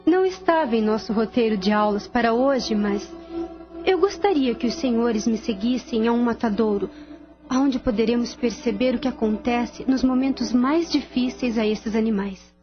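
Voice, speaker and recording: A woman playing a solemn spirit teacher who guides her students in formal, measured instruction in a radio drama. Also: on the high side, close to an everyday voice. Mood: grave, instructive. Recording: slightly dull radio sound, faint music.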